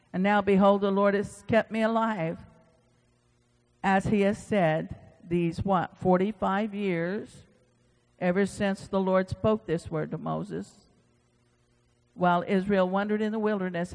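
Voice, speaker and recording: High-voiced preacher man in a reverberant hall. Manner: conversational, emphatic repetition, folksy asides. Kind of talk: informal sermon with scripture reading.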